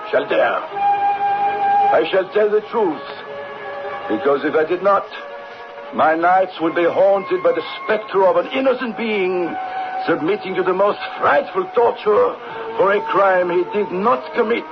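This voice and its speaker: An actor playing an indignant, crusading French writer in a radio drama, speaking in an emphatic, theatrical, oratorical manner. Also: male voice, high-pitched, measured pace, vocal fry, long pauses. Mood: ringing, defiant. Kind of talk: radio drama acting, part dialogue and part narration. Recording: dull lo-fi old radio, music behind.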